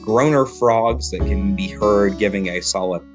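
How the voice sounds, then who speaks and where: Man, game master, descriptive steady narration, low voice, guitar music behind. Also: a little slow.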